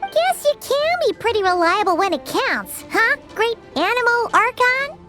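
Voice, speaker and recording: A woman voicing a tiny childlike companion creature, squeaky, excitable and cheeky, in a video game. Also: a little slow, music in the background, full character voice. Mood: sassy, teasing.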